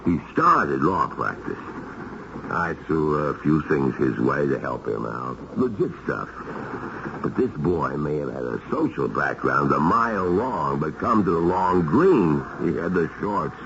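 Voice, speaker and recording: A gruff middle-aged American mobster, with a rock-bottom, crackling voice that swings widely in pitch. He wheedles in rough underworld slang, in old radio drama style. The recording is an old, noisy broadcast. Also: lively intonation, a little slow, dull audio, exaggerated voice. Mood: confiding. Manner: tough underworld slang, ungrammatical. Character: gruff, wheedling gangster father, ailing convict. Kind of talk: 1970s radio drama acting.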